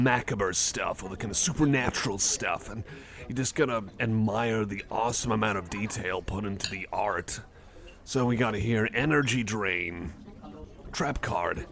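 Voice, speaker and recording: A man's voice: fairly low pitch, gravelly, fairly bright tone, full character voice. A man voicing a shy, nerdy, eager cyborg card collector in an ASMR roleplay, chatting casually in a hesitant, rambling, apologetic way. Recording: cafe crowd murmur behind the voice.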